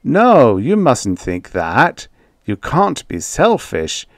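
A man's voice: medium pitch, huge pitch swings, slow pace, no echo, studio quality, voice pushed up, exaggerated voice. A man doing a pushy, greedy schemer. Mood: greedy pushing. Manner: pushy, wheedling. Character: greedy desperate young male schemer.

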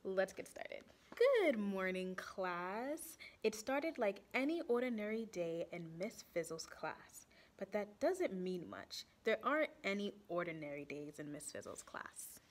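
Animated young woman reading to children. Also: mid-range voice, unhurried, few pauses. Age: young adult. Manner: animated, asks the children questions. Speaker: storytime reader for children. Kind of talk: expressive picture-book read-aloud for children.

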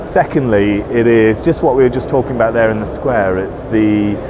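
Male executive, forties, halting but keen conversational interview delivery, clear exhibition noise behind him. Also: on the low side. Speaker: managing director being interviewed. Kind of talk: conversational trade-show interview. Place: large indoor trade show floor. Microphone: lapel. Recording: noisy busy exhibition hall. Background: loud trade show ambience.

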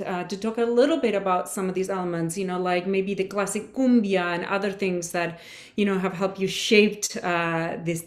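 Enthusiastic woman from Argentina on a video call. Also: mid-range voice. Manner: enthusiastic, effusive praise. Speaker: clarinetist. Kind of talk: video-call masterclass discussion. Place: home room with shelves.

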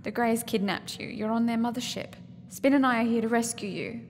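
A woman's voice: on the high side, a little fast, long reverb tail, a little hiss or hum, close to an everyday voice. An actress playing an anxious, determined young woman in a science fiction audio drama. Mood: anxious, caring.

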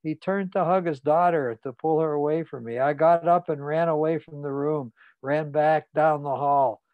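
A man's voice: a little high, steady tone, moderate pace, no room sound, narrow, tinny audio, close to an everyday voice. A man voicing a muted, ashamed confessor. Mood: shamed. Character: ashamed, frightened middle-aged man confessing. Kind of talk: audiobook reading.

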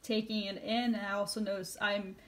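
Casual woman interviewer, medium pitch. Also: level tone, a little fast. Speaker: interviewer, documentary maker.

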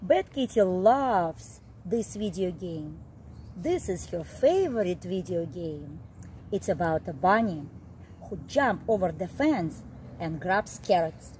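A middle-aged woman reading a picture book aloud to young children in an expressive, deliberate way, recorded outdoors. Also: on the low side, a little hiss or hum, poor audio. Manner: expressive, deliberate read-aloud delivery with simple emphasized phrases. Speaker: early childhood storyteller. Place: outdoor backyard, seated on a garden seat.

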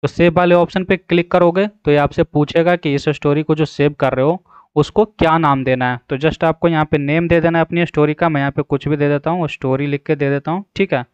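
Guy doing a casual app tutorial, smooth voice, bit high, dry slightly dull mic. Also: steady tone, a little fast, no room sound. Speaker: tutorial host. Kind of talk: casual screen-recorded app tutorial narration.

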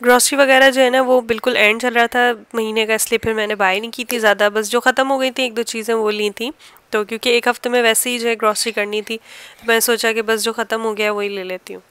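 Pakistani lady chatting through her home vlog, really smooth clean voice, dry close sound. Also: fairly high pitch, level tone, fast, a bit bright, dry recording. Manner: chatty, explanatory, homely everyday talk. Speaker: home cooking and family vlogger. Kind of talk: casual vlog voice-over narration.

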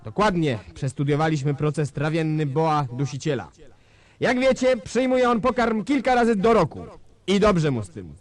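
Bombastic male dictator played by an actor, high-pitched, pompous orator addressing his nation in a stage drama. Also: lively intonation, moderate pace, lightly acted. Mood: fervent, bombastic. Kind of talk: theatrical political speech.

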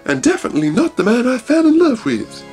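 A man voicing a frightened, defiant young woman in a high falsetto, reading a story aloud. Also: very animated, fast talker, a little mellow, soft background music. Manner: high falsetto, pleading then shrill.

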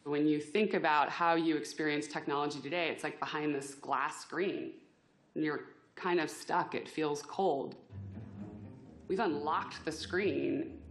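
A woman's voice: low voice, fairly even intonation, a little slow. A woman speaking conversationally as a developer in a promotional interview testimonial. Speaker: technology developer. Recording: roomy sound with music bed. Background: music.

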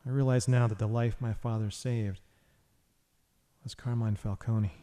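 A man's voice: mid-range voice, steady tone, measured pace, mellow, in a large hall, lightly acted. A man playing a brooding, haunted vigilante hero, narrating a noir inner monologue in an audio drama. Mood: wistful.

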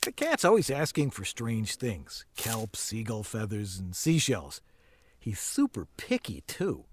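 A man's voice: fairly low pitch, wildly swooping pitch, measured pace, slight background noise. An actor playing an unhoused man who squats in the shop, easygoing and rambling.

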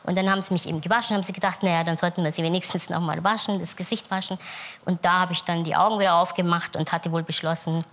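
A woman in her thirties, born in Turkey, telling her own story as a guest in a TV studio, frank and quick to laugh. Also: on the low side, steady tone, fairly bright tone. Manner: frank, laughs easily, many fillers. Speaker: talk show guest. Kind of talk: casual chat. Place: TV studio with audience. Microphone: lapel.

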